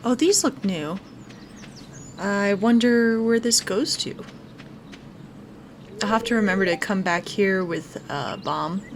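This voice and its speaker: A woman's voice: medium pitch, takes it slowly, pauses often, slight background noise. A woman giving casual let's play commentary as a gaming video host, talking through what she is doing as she goes. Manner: narrates own actions aloud. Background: game music.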